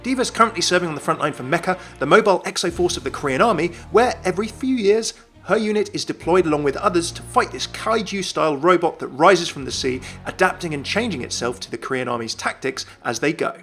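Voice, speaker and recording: A man's voice: fairly high pitch, lively intonation, quick pace, few pauses, a bit bright, faint music behind. A man narrating a scripted video game explainer as a YouTube host, enthusiastic and explanatory.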